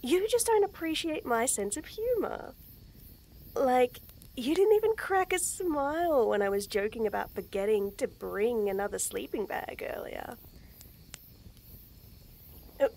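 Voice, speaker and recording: A young woman in a scripted roleplay audio, talking to the listener with nervous stammers, frequent giggles and soft whispers. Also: sits high, animated, a little slow, creaky, pauses often. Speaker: voice actress in a girlfriend audio.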